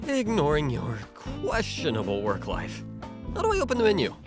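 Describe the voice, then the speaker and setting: A man's voice: on the high side, wildly swooping pitch, moderate pace, music in the background. A man voicing a hapless, broke young man lost in another world, dramatic and self-pitying, in an anime parody dub. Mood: wry questioning.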